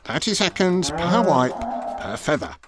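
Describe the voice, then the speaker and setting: A man's voice: on the high side, very animated, a little slow, crowd noise. An actor playing a fussy, officious man obsessed with schedules, pedantic, bossy and humourless, in a comedy audio drama. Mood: officious, impatient.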